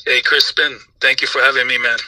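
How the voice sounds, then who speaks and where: A man from Jamaica and Trinidad who grew up in the United States, talking casually as an interview guest in a relaxed, conversational way. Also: mid-range voice, fairly quick, no echo, low-quality recording. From Jamaica and Trinidad, raised in the United States. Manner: relaxed, conversational, with frequent "you know". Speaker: guest, music industry professional.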